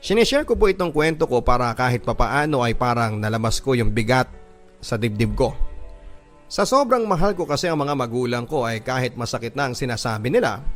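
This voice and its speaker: A Filipino man narrates a heartfelt letter in the manner of a radio drama host. His mid-pitched voice is earnest and sentimental, with soft music running underneath. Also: animated, brisk. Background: background music.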